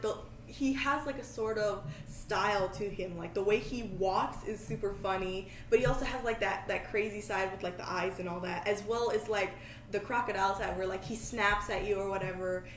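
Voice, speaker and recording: A woman hosting a podcast, chatting casually in a rambling, self-deprecating way. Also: mid-range voice. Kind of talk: casual chat. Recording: echoey room, faint background noise.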